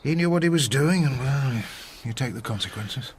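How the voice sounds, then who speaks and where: Man playing genteel retired doctor, Victorian radio drama, mid-pitched voice, courteous, wistful, halting, background noise. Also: expressive, natural voice.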